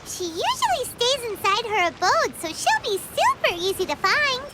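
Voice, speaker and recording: A woman voicing a small, chirpy female fairy companion in a game, with a squeaky childlike voice and a bubbly way of talking. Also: wildly swooping pitch, moderate pace, heavy background noise, full character voice. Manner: bubbly, refers to herself by name. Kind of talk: game voice acting. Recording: studio voice with loud background music.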